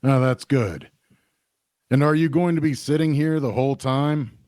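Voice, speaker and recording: An actor playing a burly pub keeper in an audio drama, halting and polite but unimpressed. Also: male voice, medium pitch, a little mellow, dry recording, clean studio sound, close to an everyday voice. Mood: awkward, nudging. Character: burly, no-nonsense pub keeper, a man, mildly impatient.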